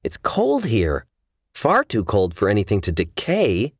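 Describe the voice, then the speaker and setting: A man's voice: lowish voice, wildly swooping pitch, a little slow, dry recording, studio quality, pitched above the performer's own voice, exaggerated voice. A man voicing a tough, blunt young woman mage in an audiobook, terse and profane. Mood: shocked disgust. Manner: terse, muttering, swearing.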